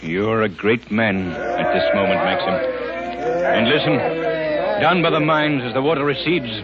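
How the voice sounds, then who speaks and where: An actor playing an earnest, heroic gentleman crime-fighter in a declamatory 1930s radio drama style. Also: medium pitch, normal speed, a loud crowd, natural voice. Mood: solemn, consoling. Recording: very noisy muffled 1930s radio recording.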